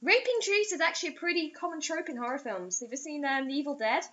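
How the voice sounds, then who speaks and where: A young woman reads a story aloud in a high, bright voice. Her delivery is theatrical and sarcastic, breaking into mocking commentary. The sound is dull and lo-fi, like a webcam in a small room. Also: lively intonation, fast talker. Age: young adult. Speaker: co-host reading a story aloud.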